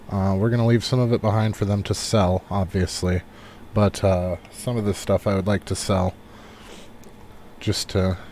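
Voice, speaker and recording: A man giving relaxed, rambling live commentary on a game he is playing, thinking aloud as he goes, while recovering from a cold. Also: lowish voice, a little hiss or hum. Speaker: game streamer. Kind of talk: casual live gameplay commentary.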